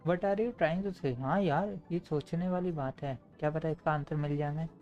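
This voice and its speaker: A man's voice: a little high, very fast, highs cut off. A man with an Indian accent, a native Hindi speaker, commenting live on a game and reading dialogue aloud. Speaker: game livestreamer. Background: game music.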